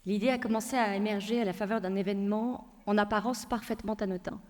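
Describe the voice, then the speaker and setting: A woman lawyer giving a prepared public talk, telling a personal story in a measured way with frequent hesitations. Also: medium pitch, steady tone, a little mellow, big-room sound. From France. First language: Persian. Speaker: lawyer and speaker.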